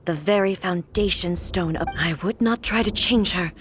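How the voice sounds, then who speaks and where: A woman playing a solemn, regal immortal warrior in a video game, speaking in a formal, grave and measured way. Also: on the low side, over music, natural voice. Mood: grave, explaining. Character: solemn, regal immortal warrior ruler and her stern puppet guardian. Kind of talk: dramatic video game voice acting.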